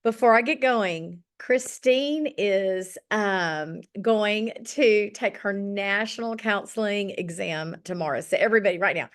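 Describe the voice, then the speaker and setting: A woman's voice: mid-range voice, unhurried, hardly any pauses. A middle-aged woman with a slightly scratchy voice, leading an online journaling session in a chatty, encouraging way over a video call. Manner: chatty and encouraging, with many ums. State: slightly scratchy voice after illness. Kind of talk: casual online group session.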